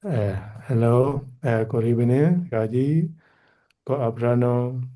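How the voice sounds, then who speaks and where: A man's voice: medium pitch, takes it slowly, mellow. A man from Myanmar, the forum organizer, introducing himself in short earnest phrases on a video call.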